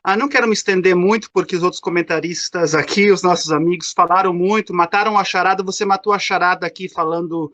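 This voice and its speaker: A man's voice: high-pitched, steady tone, quick pace, few pauses, bright, forceful tone, no room sound, dull audio. A man, calling into a live show and chatting in a rambling way with many fillers and restarts. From São Paulo, Brazil. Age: 50s. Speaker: caller. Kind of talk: casual chat. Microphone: remote call.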